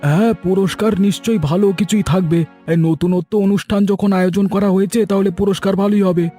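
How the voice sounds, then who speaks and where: A man voicing an encouraging young villager in a cartoon, husky, with music behind him. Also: high voice, fairly even intonation, fast talker, dark tone, natural voice. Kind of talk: cartoon voice acting.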